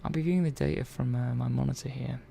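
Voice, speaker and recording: A man playing an earnest, hesitant robot repair technician in a soft-spoken ASMR roleplay, halting and trailing off mid-sentence. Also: medium pitch, steady tone, creaky, a little hiss or hum. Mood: cautious, reassuring.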